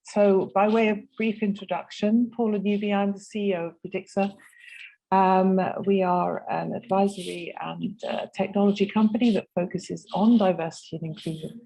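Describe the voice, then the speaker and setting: A woman's voice: mid-range voice, steady tone, moderate pace, gravelly, warm tone, low-quality recording. A middle-aged woman from the United Kingdom, a company head, speaking fluently as a roundtable panelist.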